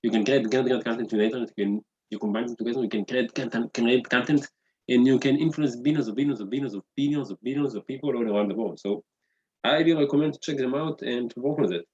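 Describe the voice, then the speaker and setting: Fast-talking excitable man, native Hebrew speaker. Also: mid-range voice, highs cut off. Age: 30s. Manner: excitable, repeats words and says "guys" often. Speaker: podcast host. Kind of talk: enthusiastic solo video podcast intro.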